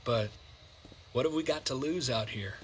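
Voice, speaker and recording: An actor playing a weary, loyal survivor in a video game, plainspoken and folksy, with an American accent. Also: male voice, medium pitch, moderate pace, a little hiss or hum, lightly acted. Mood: rueful, reflective. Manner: folksy, colloquial, reflective. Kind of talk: naturalistic video game drama acting.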